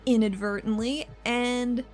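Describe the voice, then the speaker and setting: An earnest female handler in a roleplaying game, acted by a woman. She explains herself frankly and haltingly in casual words. The voice is on the high side, with quiet music behind. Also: fairly even intonation, close to an everyday voice. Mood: earnest, awkward. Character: earnest, frank female handler defending her troublesome partner.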